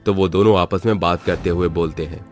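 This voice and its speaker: Low-voiced man narrating a story. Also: fairly even intonation, brisk, faint music behind. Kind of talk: scripted moral-story narration.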